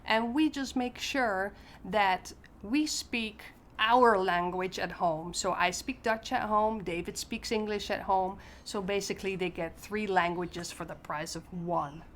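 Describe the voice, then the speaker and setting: A woman's voice: mid-range voice, a little slow, few pauses. Upbeat woman chatting, faint noise behind. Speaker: parent interviewee. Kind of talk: casual talk to camera.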